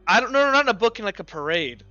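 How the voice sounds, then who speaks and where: A man with an excitable, stammering way of talking, casually narrating a tabletop game as game master. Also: high-pitched, fast talker, no room sound, dull audio. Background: faint music.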